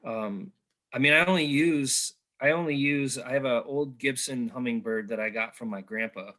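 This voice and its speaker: A man's voice: medium pitch, normal speed, pushed and bright. A man hosting an interview over a video call, talking in a rambling way with many fillers. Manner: talkative, rambling, filler-heavy. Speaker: interviewer, host. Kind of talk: conversational interview.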